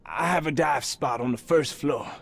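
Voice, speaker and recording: An actor playing a grieving, humble local man in a video game, speaking quietly and pleadingly. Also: fairly high pitch, normal speed, creaky.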